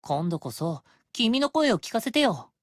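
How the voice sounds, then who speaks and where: High, lilting, vain male demon. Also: sing-song, a little fast, slight background noise. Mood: playful, vain. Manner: coy, playful, self-admiring. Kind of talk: Japanese game voice acting, intimate phone monologue.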